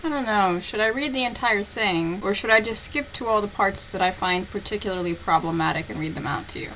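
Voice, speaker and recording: A woman's voice: mid-range voice, brisk, slight background noise, rough recording. A woman giving a mocking, sarcastic dramatic reading of a story, with her own commentary as host. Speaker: host reading fan fiction aloud. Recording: loud music behind the voice. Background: music.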